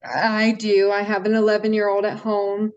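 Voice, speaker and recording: A woman's voice: medium pitch, completely flat delivery, normal speed, no echo, lo-fi sound. A woman in her forties on a dating show, giving short, plain answers. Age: 40s.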